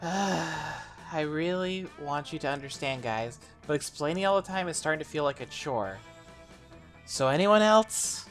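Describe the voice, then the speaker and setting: A woman voicing a wry, patient young monster girl with an expressive, slightly dry delivery in an amateur game dub. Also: sits low, a little slow, soft background music. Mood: weary, sincere. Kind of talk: amateur visual novel dub.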